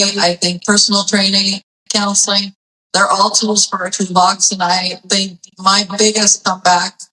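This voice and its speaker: A woman hosts a casual interview show, asking questions in a chatty, playful way that sometimes wanders before finding the point. Her voice is of ordinary pitch. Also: pushed and bright, distant mic, poor audio. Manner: chatty, playful, a bit rambling. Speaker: podcast host.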